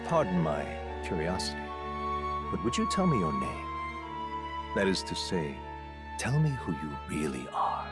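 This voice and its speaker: Deep mysterious solemn game character, formal n theatrical, loud music under it. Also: male voice, wildly swooping pitch, a little slow, pauses often, full character voice. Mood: mysterious, inquiring. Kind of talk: dramatic English-language game voice acting.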